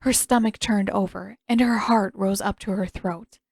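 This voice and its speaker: A woman's voice: medium pitch, steady tone, moderate pace, vocal fry, darkish tone, no room sound, studio quality. A woman reading scripted fiction as the narrator of a story. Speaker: podfic narrator and author.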